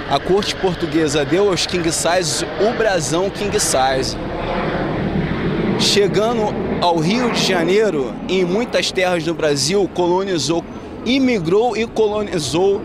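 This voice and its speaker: A man's voice: sits high, lively intonation, takes it slowly. Rambling man on a noisy street. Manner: rambling, grandiose. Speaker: man in a viral street interview. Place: outdoors on an urban street.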